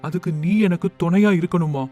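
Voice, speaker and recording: Male actor as earnest village tailor, high voice, emphatic delivery, cartoon moral tale, music underneath. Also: brisk, warm tone, close to an everyday voice. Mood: resolute. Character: earnest village tailor, devoted son turned strict miser. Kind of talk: animated moral story dialogue.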